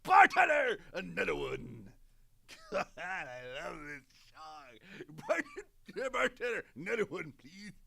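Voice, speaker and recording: Wildly swooping drunk Sith Lord. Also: male voice, high voice, wildly swooping pitch, takes it slowly, creaky, bright, forceful tone, pitched above the performer's own voice, exaggerated voice. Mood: exuberant, drunk. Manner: boisterous, stumbling over words. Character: drunk, blustering male wannabe Sith Lord. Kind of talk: audio drama voice acting, multiple takes.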